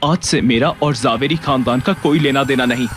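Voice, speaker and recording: A man's voice: medium pitch, normal speed, over music, lightly acted. A man playing a proud, hot-tempered, sarcastic young husband in an audio drama, assertive and biting. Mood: wounded pride. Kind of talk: Hindi audio drama acting.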